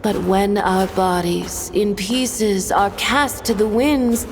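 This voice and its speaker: A woman's voice: mid-range voice, fairly even intonation, slow, a little hiss or hum. A woman playing an aloof, melancholy woman of authority in a game, restrained and reflective in manner. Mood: poetic, mournful. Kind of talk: dramatic game cutscene acting. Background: music.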